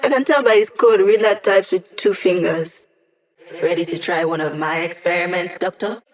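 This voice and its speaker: A woman's voice: on the low side, normal speed, natural voice. Wry young female hacker, played by an actress. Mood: amused, snarky. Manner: playful, sardonic, casual. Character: wry, upbeat young female hacker and tech support ally. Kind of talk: video game voice lines.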